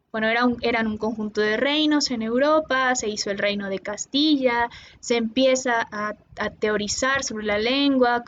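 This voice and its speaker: A Mexican woman tutoring linguistics online, explaining patiently and pausing often with "eh". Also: sits high, highs cut off. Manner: patient, explanatory, with many "eh" pauses.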